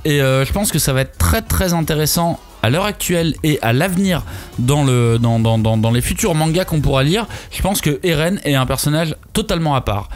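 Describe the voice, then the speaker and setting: Young man, panelist, hesitant, casual chat, slightly high voice. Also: animated, fairly quick. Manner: hesitant, many fillers and restarts. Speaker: podcast panelist.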